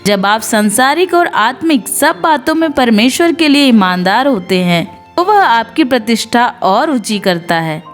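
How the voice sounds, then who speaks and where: A woman narrating scripted Bible stories in an earnest devotional storytelling style. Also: a little high. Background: background music.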